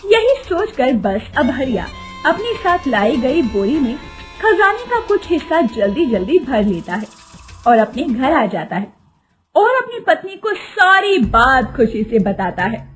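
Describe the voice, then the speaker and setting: A woman narrating a children's cartoon story in a soft storytelling manner. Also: sits high, very animated, a little slow, faint music behind.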